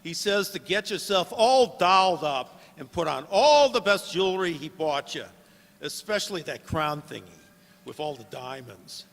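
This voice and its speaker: An actor playing a nervous, slow-witted gangster goon in a radio play, hesitant and slangy. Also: male voice, a little high, lively intonation, a bit bright. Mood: coaxing. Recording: noisy hall with long reverb.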